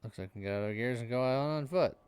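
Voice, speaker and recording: A man's voice: mid-range voice, rapid-fire, a little mellow, clear, resonant tone, dry recording. A man giving casual, dryly jokey, mock-complaining commentary as he streams a video game. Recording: music in the background. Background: game music.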